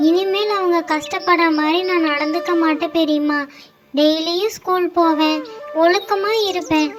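An eager little girl, played by a woman in a children's moral cartoon, pitched extremely high. She sounds childlike and spoiled, and music plays prominently under her words. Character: young spoiled playful girl, later sorry and earnest.

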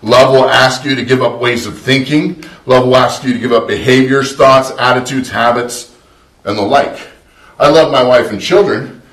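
A man's voice: mid-range voice, fairly even intonation, moderate pace, a bit bright. Middle-aged man, pastor, earnest conversational sermon, roomy echo, distant mic. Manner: earnest, conversational preaching with frequent restarts. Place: small church sanctuary, indoors. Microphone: far camera, no visible mic.

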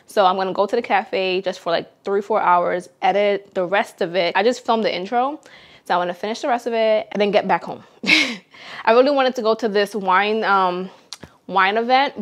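A young woman chatting frankly to a handheld camera in a casual vlog, moving between home, car and cafe. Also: mid-range voice. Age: young adult. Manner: chatty, frank, quick asides. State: congested. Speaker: vlogger. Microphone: handheld camera at arm's length.

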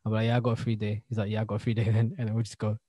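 Fast-talking young London man, slangy. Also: fairly low pitch, monotone, warm tone, no echo. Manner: slangy, chatty. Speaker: podcast co-host. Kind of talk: casual chat, storytelling. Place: indoors, remote video call.

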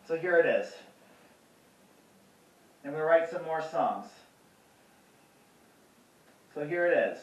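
A man in his thirties rehearsing folk songs on acoustic guitar at home, giving slow, halting introductions between songs. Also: on the high side, animated. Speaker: folk musician. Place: small bedroom, indoors. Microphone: far camera. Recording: roomy, distant, dull camera audio.

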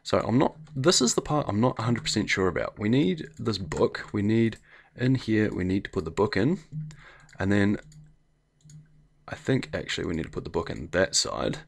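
Low, gravelly New Zealand man, slightly croaky, hosting a relaxed let's-play at his desk mic. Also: moderate pace. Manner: chatty, thinking aloud while clicking through menus. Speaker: gameplay video host. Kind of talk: casual let's play commentary.

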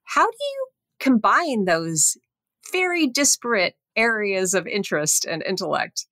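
A woman's voice: medium pitch, sing-song, measured pace, pushed and bright, dry recording, clean studio sound. A woman hosting a professional medical interview podcast, with an effusive, encouraging manner toward the guest. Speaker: podcast host, interviewer, physician.

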